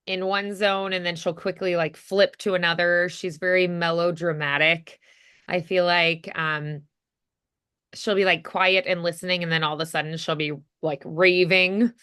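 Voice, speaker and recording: A woman gives casual one-on-one voice acting coaching. Her voice is bright and smooth, a touch low, and she takes her time, thinking aloud and gently encouraging. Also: little pitch movement, normal speed.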